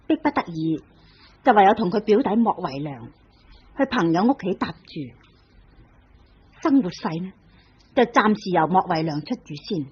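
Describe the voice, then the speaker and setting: A woman giving scripted dramatic narration for an old radio play in a measured storytelling cadence. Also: medium pitch, slightly rough. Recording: dull lo-fi old radio recording.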